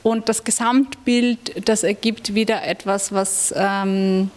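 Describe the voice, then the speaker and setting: A woman in her thirties, an artist speaking thoughtfully into a handheld mic about her work, pausing to search for words. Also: medium pitch, steady tone, darkish tone. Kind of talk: talking about her artwork. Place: gallery room. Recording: long gallery reverb.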